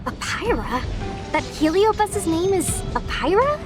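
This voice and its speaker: A woman's voice: extremely high pitch, big pitch swings, unhurried, over music, exaggerated voice. A woman playing a brave, earnest young female knight in a video game, spirited and concerned. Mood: puzzled. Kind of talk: video game cutscene acting.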